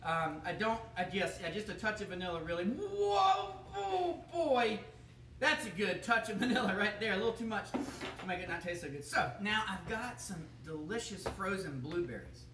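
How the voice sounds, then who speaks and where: A man's voice: sits high, big pitch swings, moderate pace, fairly bright tone, slight background noise, heavily acted. A man playing a goofy bumbling kitchen chef. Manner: clumsy, rambling, chuckling. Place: bright home kitchen. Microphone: camera across the room. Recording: echoey room, mic from far off.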